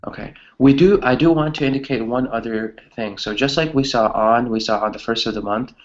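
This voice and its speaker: Male teacher, middling pitch, conversational, encouraging, frequent check-in questions, slightly dull online-call audio. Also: brisk. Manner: encouraging, often adds "right?" as a check. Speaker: English teacher. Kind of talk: conversational online grammar lesson.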